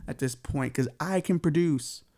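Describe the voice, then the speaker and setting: A man's voice: on the high side, moderate pace, warm tone. A man voicing a boastful, fertile male hippo in a comedy bit, with smug bragging.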